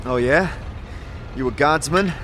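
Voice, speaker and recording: A man voices a wandering adventurer who is plainspoken and unsure of himself. He asks curious, halting questions in a fantasy audio drama. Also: on the high side, fairly bright tone, slight background noise, voice pushed up. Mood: hesitant, curious.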